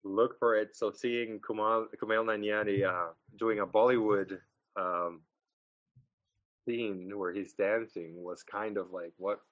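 A man's voice: fairly low pitch, steady tone, a little slow, no echo, muffled recording. Rambling, laughing male podcast co-host. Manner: rambling with fillers, laughs often. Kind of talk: casual film podcast chat.

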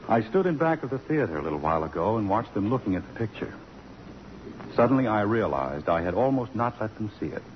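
Low voiced solemn dad, college president type, measured, old noisy radio play. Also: male voice, very animated, muffled recording. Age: middle-aged. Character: grave, contrite middle-aged father and college president. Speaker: radio drama actor. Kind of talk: 1950s radio drama acting.

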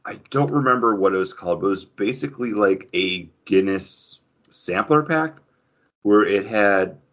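Man, low pitch, bright edge, casual podcast banter, anecdotal, dull band-limited recording. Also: lively intonation, moderate pace. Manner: anecdotal, acts out remembered dialogue. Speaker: podcast co-host. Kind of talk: casual chat among friends.

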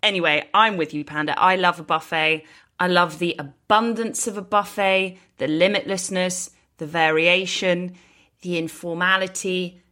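British female podcast host, deep-voiced, chatty and informal delivery. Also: fairly even intonation, moderate pace, sharp tone. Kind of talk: casual conversational podcast chat.

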